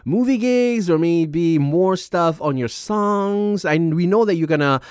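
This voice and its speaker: A man's voice: high-pitched, animated, measured pace, a little mellow, clean tone, no echo, studio quality. A young man hosting a night radio show, interviewing a guest with upbeat, gushing energy. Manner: hyped, gushing fan-like host.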